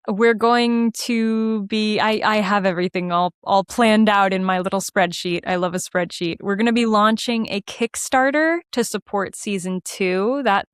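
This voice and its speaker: Upbeat woman with a clear, smooth voice. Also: mid-range voice, no echo, clean studio sound. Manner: upbeat, chatty. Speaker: podcast guest, writer and performer. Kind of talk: casual interview chat.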